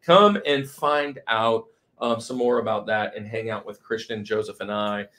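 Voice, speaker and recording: A man's voice: on the low side, moderate pace, a bit bright. A man hosting a podcast in an enthusiastic, casual way, often repeating his phrases for emphasis. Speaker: podcast host.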